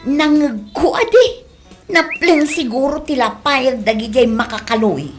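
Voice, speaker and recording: A woman's voice: sits high, animated, a little slow, faint music behind. A woman acting an opinionated, moralizing villager in an Ilocano radio comedy drama. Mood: gossiping.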